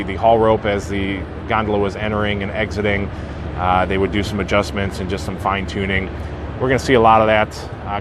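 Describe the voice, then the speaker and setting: A man's voice: lowish voice, noisy background. A man doing a casual live-stream walking tour of a theme park, greeting viewers by name with lots of fillers, a bit sniffly from a sinus infection. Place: outdoors at a theme park walkway.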